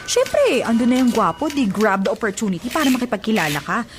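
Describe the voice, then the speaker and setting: A woman's voice: on the high side, big pitch swings, brisk, over music. A woman playing a flirty, bold single office worker in her twenties, with playful, theatrical radio drama delivery. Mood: teasing, defensive. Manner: playful, theatrical, Taglish banter.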